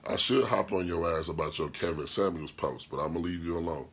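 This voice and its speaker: A man with a very low, deep voice and a husky, slightly rough tone holds forth on his podcast. He talks bluntly and profanely, hammering his points with repeated rhetorical questions and stopping to answer comments from his live chat. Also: fairly quick, fairly bright tone. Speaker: podcast host and DJ. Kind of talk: opinionated podcast monologue.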